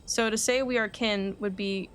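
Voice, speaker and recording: Composed scholarly alchemist read out by a woman, mid-pitched. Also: steady tone, moderate pace, close to an everyday voice. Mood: calm, reflective. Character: composed, cerebral alchemist, an artificial human. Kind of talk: reading game dialogue aloud. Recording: faint background noise.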